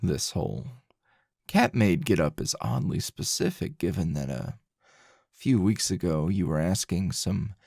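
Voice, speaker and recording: A man playing a grumpy middle-aged teacher in an intimate ASMR roleplay, a teasing, possessive lover with a low, gravelly, husky murmur and a slow drawl. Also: big pitch swings, a little mellow, no room sound, studio quality, exaggerated voice. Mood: amused, teasing.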